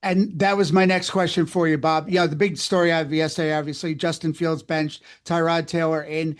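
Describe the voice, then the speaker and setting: A man's voice: on the high side, flat delivery, brisk. A man co-hosting a sports radio interview, putting questions to the guest.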